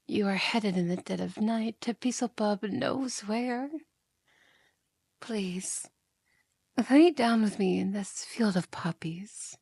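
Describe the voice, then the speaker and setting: A woman's voice: medium pitch, darkish tone, breathy edge, no room sound. A woman playing a seductive, mocking yandere demon in an ASMR roleplay, coaxing and theatrical, often slipping into whispers.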